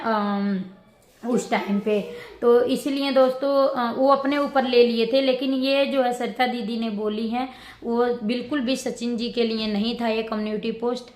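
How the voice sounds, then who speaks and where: A woman giving casual reaction commentary to her viewers, rambling and halting as she circles back over her points. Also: on the high side, fairly even intonation. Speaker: vlogger. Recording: roomy echo with background noise.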